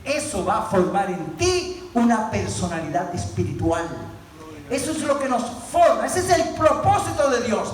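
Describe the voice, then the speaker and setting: High-pitched male preacher in an echoing space. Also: sing-song, moderate pace. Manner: exhortative, emphatic, repeats words and phrases for effect. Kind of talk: impassioned Spanish-language sermon. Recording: very echoey room, distant mic, faint noise.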